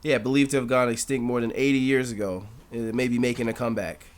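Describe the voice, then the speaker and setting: A man's voice: medium pitch, steady tone, fast, slight background noise. A young man with a nasal voice, co-hosting a casual podcast, rambling loosely with frequent laughs and casual swearing. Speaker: podcast co-host. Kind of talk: casual chat and spoken freestyle rap.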